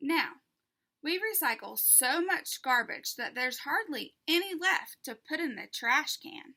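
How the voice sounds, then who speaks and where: Female storybook reader: high, bright voice, deliberate and expressive for small children. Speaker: teacher reading a children's book aloud.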